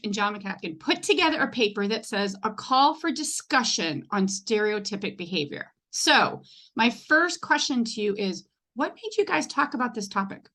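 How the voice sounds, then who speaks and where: Bright-voiced woman hosting a podcast. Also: on the high side. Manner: enthusiastic, informal. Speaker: podcast host. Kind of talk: casual podcast interview.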